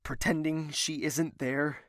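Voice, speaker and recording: A man's voice: a little high, level tone, takes it slowly, a little hiss or hum. A man playing a lovesick, stubborn young prince in an audio drama, earnest, formal and melodramatic. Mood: puzzled, searching.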